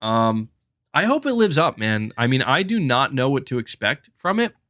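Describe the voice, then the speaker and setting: A man speaks as a gaming podcast host in a medium-pitched voice. His delivery is casual and conversational, with emphatic stress and repeated phrases to make a point. The recording is dry, with almost no room sound. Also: big pitch swings, normal speed, fairly bright tone, no echo. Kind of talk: casual gaming commentary, answering chat comments.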